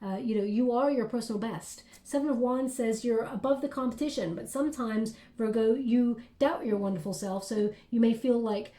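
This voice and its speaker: A woman's voice: a little high, moderate pace, hardly any pauses, warm tone, echoey room. A woman giving a tarot reading in an encouraging, reassuring way, with frequent fillers. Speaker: tarot reader.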